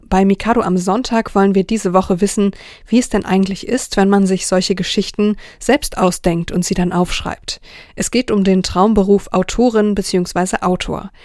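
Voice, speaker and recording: A woman's voice: medium pitch, steady tone, normal speed, a little mellow, no echo. A woman presenting a children's radio programme in a scripted style, close to a studio microphone.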